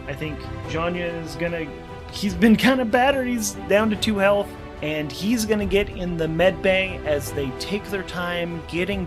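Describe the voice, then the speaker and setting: Guy with a slightly high voice doing solo rpg narration, figuring stuff out as he goes, music under him. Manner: thinks aloud while working out rules and story. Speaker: solo game master and podcast host. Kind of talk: narrating a solo tabletop game actual play.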